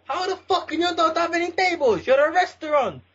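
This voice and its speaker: A man's voice: very high-pitched, expressive, brisk, a bit bright. A man playing an angry, entitled, foul-mouthed young mayor's son on a prank phone call. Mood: angry, threatening. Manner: swearing, threatening, indignant. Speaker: prank caller.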